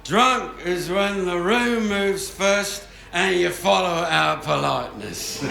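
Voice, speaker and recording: A man's voice: sits high, vocal fry, bright, forceful tone, exaggerated voice. An actor playing a tipsy, mischievous old grandfather who narrates his family tale wryly in a live radio play. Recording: roomy live stage, faint noise.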